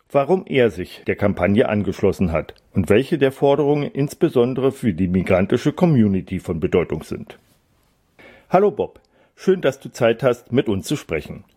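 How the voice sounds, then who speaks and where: Mellow-voiced radio interviewer, man. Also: on the low side, breathy edge. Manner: measured, read-aloud delivery. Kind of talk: scripted radio intro and interview questions.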